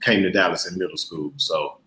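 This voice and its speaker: A man in his thirties with a Mississippi Southern accent, a guest in a casual interview, relaxed and pausing with fillers. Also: low-pitched, reverberant, low-quality recording. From Mississippi, USA. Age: 30s. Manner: relaxed, hesitant with frequent uh fillers.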